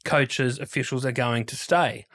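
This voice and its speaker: A man with an Australian accent talks sport as a podcast co-host. His pitch sits in the middle range for a man. He speaks in a casual, halting way, leaning firmly on the points he wants to make. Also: fairly bright tone.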